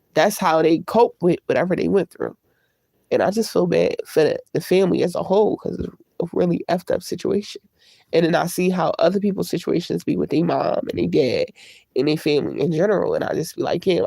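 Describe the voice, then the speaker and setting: Congested woman, livestream host, gravelly fry, dry close sound, candid rambling talk, tablet mic. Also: fairly low pitch, warm tone, no echo. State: congested from a cold. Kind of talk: casual livestream chat.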